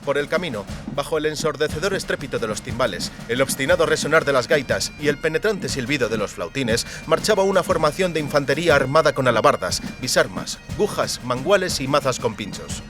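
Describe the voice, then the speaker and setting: Mid-pitched male audiobook narrator, measured. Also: lively intonation, music in the background. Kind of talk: scripted literary narration.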